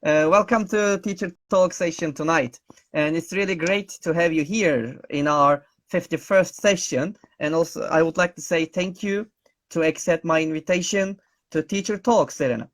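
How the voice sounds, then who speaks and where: A man's voice: a little high, moderate pace, fairly bright tone. A man hosting an online teacher talk show over a video call, friendly and hesitant with many fillers and nonnative phrasing. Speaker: host.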